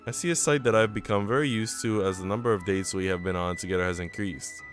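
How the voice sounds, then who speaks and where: A man's voice: on the low side, fast, soft background music. A man reading a visual novel aloud on a stream, mixing narration with casual, chatty remarks to viewers. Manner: chatty asides between narrated passages. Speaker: game streamer.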